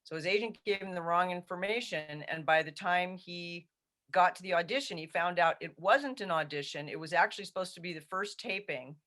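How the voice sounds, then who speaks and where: A woman telling a personal anecdote on a video call, deliberately, quoting the people in it. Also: on the low side, fairly bright tone. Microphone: video call microphone.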